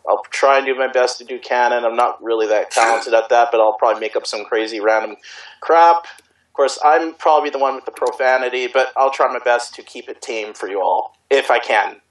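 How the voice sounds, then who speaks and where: A man's voice: medium pitch, a little fast. A man chatting casually on an online group call as an amateur voice actor and co-reader. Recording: distant mic on internet call.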